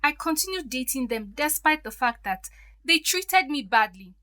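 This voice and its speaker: A woman's voice: high voice, expressive, moderate pace, pushed and bright, no echo. An actress playing a hurt, defiant stepdaughter in her thirties in an audio drama, emotional and pleading. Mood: wounded, angry.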